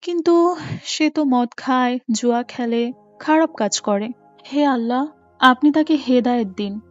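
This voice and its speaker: A woman playing a gentle, devout, long-suffering mother in a moral cartoon, tender and preachy. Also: sits high, a little slow. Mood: tender, wistful. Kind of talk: Islamic moral cartoon voice acting. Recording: muffled, with music behind.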